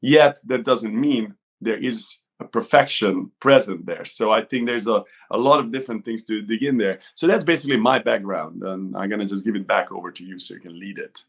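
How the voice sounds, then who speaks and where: A man's voice: fairly low pitch, a little fast, vocal fry, hardly any pauses. A middle-aged man from Hungary with a Hungarian accent, talking informally and discursively as a coach being interviewed. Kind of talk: conversational interview talk.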